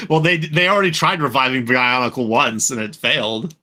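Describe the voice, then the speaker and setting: A man hosting a casual group podcast, chatting in a halting, rambling way and often correcting himself. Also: medium pitch, pushed and bright. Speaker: podcast host.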